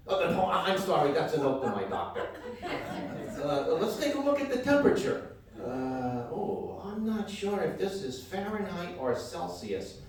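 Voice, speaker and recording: A man's voice: high-pitched, darkish tone. A man playing a scatterbrained, folksy weather professor in a live comic radio sketch, hesitant and correcting himself. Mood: flustered. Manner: bumbling, hesitant, self-correcting. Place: live on stage in a hall. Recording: echoey hall stage, distant mic.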